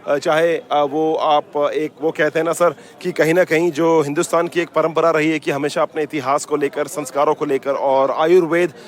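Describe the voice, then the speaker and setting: A man's voice: fairly high pitch, flat delivery, moderate pace, hardly any pauses. A man hosting live event coverage for radio, mixing in English, with hesitant, effusive presenter patter. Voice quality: mixes English phrases into Hindi. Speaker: radio station host and interviewer at an expo. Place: busy expo grounds.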